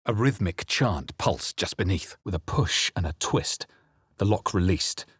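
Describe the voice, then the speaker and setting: A brooding hero telling his own perilous tale in a fantasy game. The voice is very deep and husky, in a dry, close recording. He tells it solemnly and deliberately, as scripted narration. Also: expressive, a bit bright, dry recording, full character voice.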